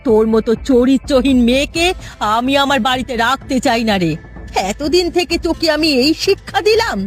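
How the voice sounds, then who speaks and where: A cartoon village mother acted by a woman, pitched fairly high. She is a poor, careworn housewife who speaks her mind, and faint music plays underneath. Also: expressive, a little fast. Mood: angry, disgusted. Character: stern, scolding village mother, later a worried poor wife. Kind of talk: cartoon voice acting.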